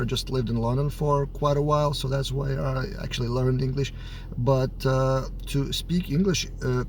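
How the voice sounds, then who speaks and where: A man with a Lithuanian accent speaks in an everyday mid-range voice that sounds a little under the weather from a cold. He thinks out loud, with hesitations and fillers between phrases, as a developer explaining his view on camera. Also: mid-range voice, a little hiss or hum. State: has a slight cold. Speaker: software developer and team lead. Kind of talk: casual to-camera talk.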